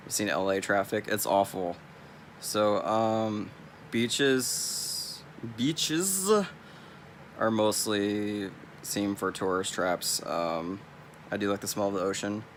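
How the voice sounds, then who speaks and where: A man's voice: lowish voice, takes it slowly, slight background noise. A young man from California with a Californian accent, rambling bluntly and swearing freely in a casual vlog. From California, US West Coast. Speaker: vlogger.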